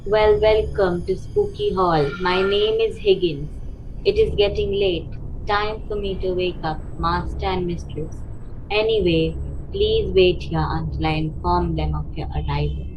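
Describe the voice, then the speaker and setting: Someone playing a slow, stiff spooky butler. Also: male voice. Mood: formal, reserved. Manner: slow, formal, reserved. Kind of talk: radio play acting. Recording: muffled, noisy with rumbling ambience.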